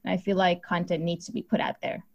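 A woman's voice: on the low side, level tone, fast, vocal fry, muffled recording. A woman co-hosting a podcast, chatty and casual, saying "like" a lot. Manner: chatty, says "like" often.

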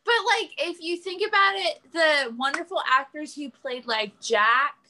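A woman's voice: high voice, measured pace, bright, forceful tone. A woman chatting casually and enthusiastically as a podcast panelist, explaining things as she goes. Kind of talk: casual chat.

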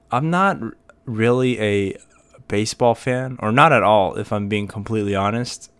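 A young American man talks through his thoughts on his own podcast, often hesitating and starting over. His voice sits a little low and sounds smooth and resonant. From United States. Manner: rambling, hesitant, many fillers and restarts. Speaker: podcast host. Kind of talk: casual solo commentary.

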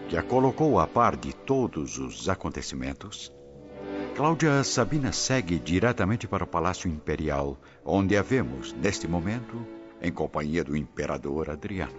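A man with a Brazilian accent narrating a scripted radio drama in a formal, measured storytelling way. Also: low-pitched, sing-song. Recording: muffled recording under clear music.

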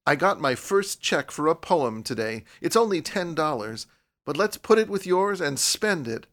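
A young woman writer from an audiobook, voiced by a man, proud and reserved. The voice is lifted and soft, cool on the surface with quiet desperation underneath. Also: a little high, very animated, normal speed, pitched above the performer's own voice. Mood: sober, wistful. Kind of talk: audiobook character voice.

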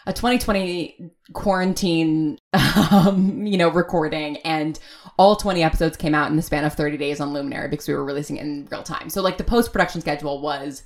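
Woman guest chatting on a podcast, low voice, thoughtful, halting, lots of like and sort of. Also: steady tone. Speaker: audio drama writer, podcast guest. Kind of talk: casual interview conversation.

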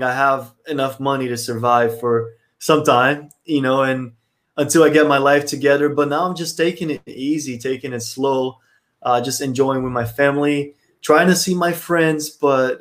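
Relaxed young man from Brazil. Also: medium pitch. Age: 20s. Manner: relaxed, many fillers. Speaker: podcast guest. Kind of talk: casual interview.

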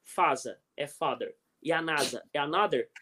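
Lively Brazilian man telling stories. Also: a little high, moderate pace, breathy edge. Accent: Brazilian Portuguese. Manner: animated anecdotes, frequent "entendeu?", chuckles. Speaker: guest volleyball coach. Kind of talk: casual storytelling interview.

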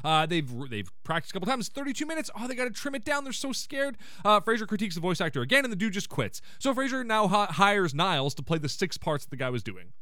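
A man hosting a podcast, giving a casual, slangy comedic recap in an excitable way and acting out quotes. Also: high-pitched, fast, few pauses, no room sound, clean studio sound. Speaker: podcast host.

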